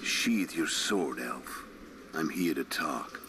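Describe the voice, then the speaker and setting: Extremely deep, menacing assassin. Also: male voice, animated, background noise, exaggerated voice. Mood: cold command. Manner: slow, commanding, formal. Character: menacing hulking male assassin, slow and commanding. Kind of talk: video game cutscene acting.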